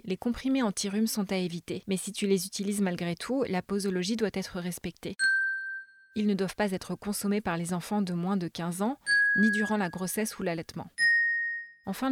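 A woman narrating a scripted health podcast, speaking clearly and informatively to the listener. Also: mid-range voice, darkish tone, no room sound.

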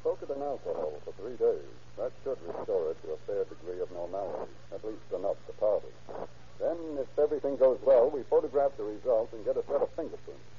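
Methodical forensic scientist, calm explainer, old lo-fi radio sound. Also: male voice, lowish voice, fast talker, breathy edge. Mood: explaining. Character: calm, methodical male fingerprint expert. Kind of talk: 1930s radio acting. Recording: dull vintage radio recording.

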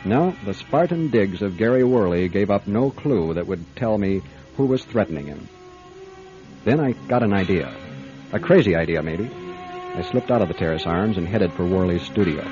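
A hard-boiled private detective speaks in a low voice with clipped, businesslike wryness. It is noir radio drama, slightly muffled, with faint music behind. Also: male voice, lively intonation, moderate pace, warm tone. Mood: wry, brooding. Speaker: lead actor and narrator. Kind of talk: radio detective drama with first-person narration.

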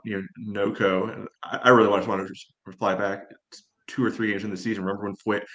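Low-voiced man talking sports. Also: a bit bright. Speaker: podcast host. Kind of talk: casual sports discussion.

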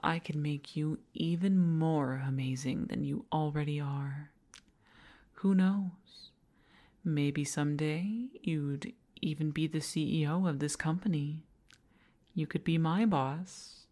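A woman playing an obsessive older female boss in an intimate audio roleplay, coaxing with condescending sweetness and slipping into breathy half-whispers. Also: low-pitched, fairly even intonation, slow, mellow. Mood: seductive, persuasive.

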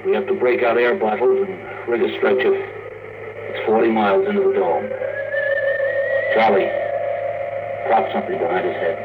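Actor as low-voiced spaceman on tinny old radio. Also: fairly even intonation, moderate pace, long pauses, loud music behind the voice, natural voice. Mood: urgent, practical. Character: gruff practical spaceman leading his crew on the moon. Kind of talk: 1950s radio drama acting. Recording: noisy, tinny old radio recording, distant.

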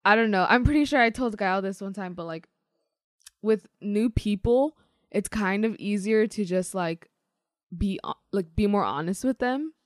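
A young woman talks casually as a podcast co-host. Her pitch is average and her phrasing is tentative, with frequent hedges. The recording is dry and free of room echo. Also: normal speed. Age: young adult. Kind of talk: casual chat.

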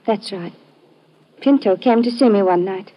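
An actress playing a guarded working-class British barmaid who answers tersely, in an old radio detective drama. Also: female voice, medium pitch, normal speed, mellow, close to an everyday voice. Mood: subdued, guarded. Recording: muffled old radio recording.

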